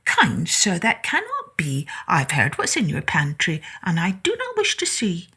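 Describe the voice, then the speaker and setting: A woman's voice: fairly low pitch, huge pitch swings, unhurried, sharp tone, breathy edge, heavily acted. A woman voicing a wary little female fly in a storybook reading, prim and polite. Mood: polite refusal.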